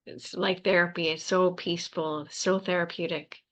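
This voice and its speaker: A woman's voice: on the low side, steady tone, normal speed. A woman hosting an interview, agreeable and chatty with her guest. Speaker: interview host. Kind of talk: interview conversation.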